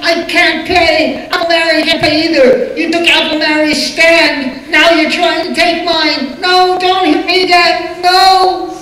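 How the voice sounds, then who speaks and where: An actress playing a frightened, pleading street vendor in a live radio play reading. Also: female voice, very high voice, fairly even intonation, unhurried, hardly any pauses, a bit bright. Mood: pleading, terrified. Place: hotel convention room, recorded from audience. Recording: echoey hall, distant camera mic, audience.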